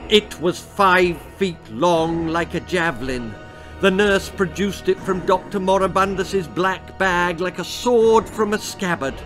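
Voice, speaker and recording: Slow, theatrical older male storyteller. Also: sits high, a bit bright. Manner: theatrical, savouring words. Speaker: author reading own story. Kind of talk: dramatic children's horror storytelling. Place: small book-lined room indoors.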